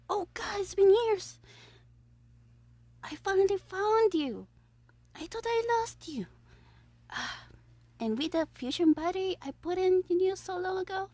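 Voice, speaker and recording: A woman's voice: extremely high pitch, no echo, full character voice. A woman playing a mischievous, lovestruck young villain in an audio roleplay, scheming theatrically in a monologue. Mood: surprised, delighted, then hushed, conspiratorial. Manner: gleeful, swinging between exclamations and hushed asides.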